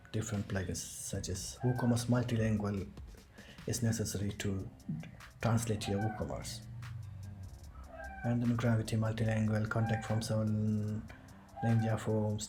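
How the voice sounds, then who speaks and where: A man hosting a screen-recorded software tutorial, explaining each step as he clicks through it. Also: lowish voice. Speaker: tutorial host.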